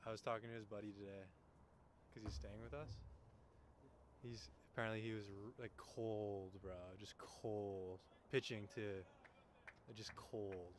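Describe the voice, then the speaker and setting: A man's voice: lowish voice, little pitch movement, a little slow. Casual young Canadian man calling baseball over noise. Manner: informal, slangy, jokey. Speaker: baseball play-by-play commentator. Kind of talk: casual, chatty live sports commentary. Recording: noisy live ballgame broadcast.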